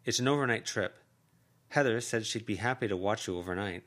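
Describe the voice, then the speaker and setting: A man's voice: fairly low pitch, a little fast, fairly bright tone, close to an everyday voice. A man voicing a tired, practical mother. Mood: measured.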